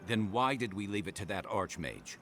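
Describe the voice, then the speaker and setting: A man's voice: on the low side, big pitch swings, moderate pace, fairly bright tone, slight background noise. A man acting a principled, troubled companion in a game cutscene, earnest, blunt and confrontational. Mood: uncertain, frustrated.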